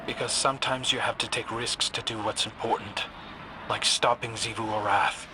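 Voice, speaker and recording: A man playing an earnest, conflicted agent in a video game, speaking with quiet restraint. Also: medium pitch, fairly even intonation, moderate pace, natural voice. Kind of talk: video game cutscene dialogue. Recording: clear noisy ambience behind.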